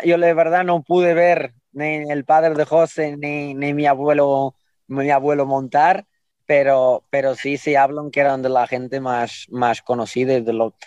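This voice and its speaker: Male interview guest, Portuguese accent, mid-pitched, casual and halting, recorded dry. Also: darkish tone, no room sound, dull audio. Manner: halting, repeats words. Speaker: horse racing guest.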